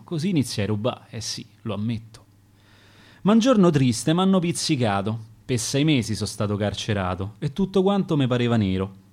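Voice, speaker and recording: A man's voice: medium pitch, darkish tone, close to an everyday voice. A man with a Roman accent voicing a dead son, a former petty thief, confessing in rhymed dialect verse.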